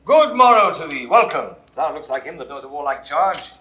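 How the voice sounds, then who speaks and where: An actor playing a commanding Roman general with a warm, proud manner and grand declamation, in a 1960s studio radio play. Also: male voice, on the high side, very animated, normal speed. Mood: rousing.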